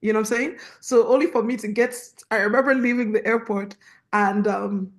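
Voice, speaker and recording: A young woman from Nigeria with a Nigerian accent, a guest in a casual chat, telling stories in an animated way with asides. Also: on the high side, fairly quick. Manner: animated storytelling with laughs and asides. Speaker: guest, youth pastor.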